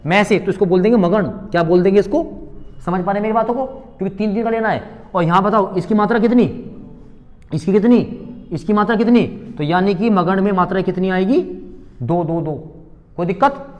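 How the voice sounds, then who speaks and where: A man's voice: sits high, fairly quick, long reverb tail, highs cut off. A male teacher giving an exam-prep lesson. He asks a question, repeats it, then answers it himself, and calls his students beta. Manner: addresses students fondly as beta, bachche.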